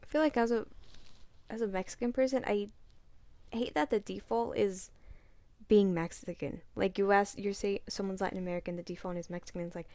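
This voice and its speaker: A young woman co-hosting a casual podcast from a bedroom, speaking in an animated, teasing way. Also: medium pitch, brisk, dry recording. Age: young adult. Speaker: podcast co-host. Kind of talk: casual chat. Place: bedroom, seated on a bed.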